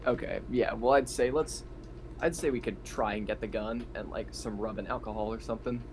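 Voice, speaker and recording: A man's voice: lowish voice, fairly even intonation, fairly quick, darkish tone. A man chatting casually as a player in a tabletop roleplaying game. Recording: faint background noise.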